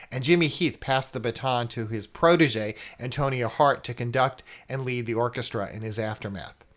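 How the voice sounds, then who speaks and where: A male podcast host with a medium-pitched voice delivers scripted announcements. He phrases things clearly and has the polished manner of a presenter. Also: a bit bright.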